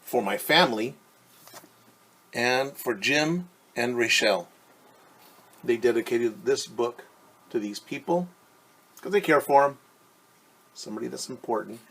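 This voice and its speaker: Slow-paced, husky man reading at storytime. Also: mid-range voice, lively intonation, a bit bright, poor audio. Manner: prompting listeners with questions, explaining simply. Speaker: teacher reading a storybook to children. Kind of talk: storytime read-aloud with simple explanations.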